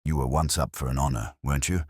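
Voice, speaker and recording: Man reading as male police inspector, very deep, husky, courteous, probing, understated, mystery story. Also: fairly even intonation, fast, darkish tone, no echo, clean studio sound. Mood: gently insistent.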